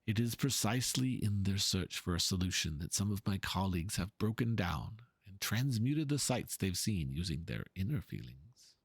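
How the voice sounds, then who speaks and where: A man playing an earnest, pompous painter in a dramatic reading of game dialogue, speaking in a florid, halting, theatrical way. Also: low-pitched, sing-song, breathy edge, dry recording, exaggerated voice. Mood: troubled, musing. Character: theatrical, earnest male painter, pompous and fervent about art.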